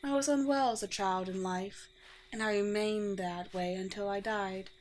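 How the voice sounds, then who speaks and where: A woman playing a courteous, teasing, world-weary vampire queen in a roleplay audio drama, with formal, old-fashioned and gently wry phrasing. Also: mid-range voice, fairly even intonation, normal speed, close to an everyday voice. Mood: gracious, playful. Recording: clear background noise with ambience.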